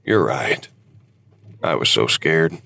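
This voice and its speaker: Man acting gravelly older husband, very low voice. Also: animated, slow pace, bright, forceful tone, full character voice. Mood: wistful, regretful. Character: weary older husband, war veteran, regretful but devoted. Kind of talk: audio drama dialogue.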